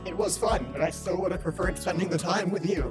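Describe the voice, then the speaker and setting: A performer voicing a formal, literal-minded male robot bird in a visual novel game, stilted and deadpan. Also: medium pitch, fast talker, music in the background, close to an everyday voice. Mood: devoted.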